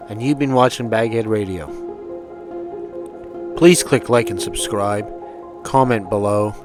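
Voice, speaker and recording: A man's voice: fairly low pitch, a little slow, over music. A man hosting an online radio show, reading a scripted sign-off.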